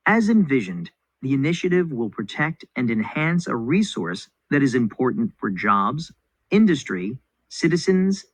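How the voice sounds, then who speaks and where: A man reads scripted educational material as a narrator, in a clear instructional manner. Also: medium pitch, dry recording. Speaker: narrator reading a textbook passage. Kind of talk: scripted educational read-aloud.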